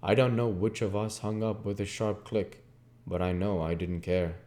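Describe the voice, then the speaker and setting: Low, mellow man reading a novel aloud. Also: steady tone, moderate pace. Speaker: reader of a video book series. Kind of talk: audiobook-style reading of a classic novel.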